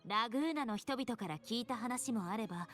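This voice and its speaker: A woman's voice: a little high, lively intonation, rapid-fire, lightly acted. A woman voicing a composed, thoughtful young female companion in a video game. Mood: friendly, informative. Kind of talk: Japanese video game voice acting. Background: game music.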